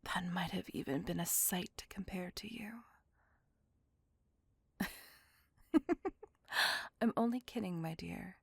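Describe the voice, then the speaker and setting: Ancient female vampire played by a woman, ASMR roleplay. Breathy half-whisper, languid and old-fashioned, tenderly possessive, very close and dry mic. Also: fairly low pitch, normal speed, dry recording. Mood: tender, romantic. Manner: formal old-fashioned wording, languid, doting. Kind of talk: ASMR roleplay, intimate scripted monologue. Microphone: close microphone.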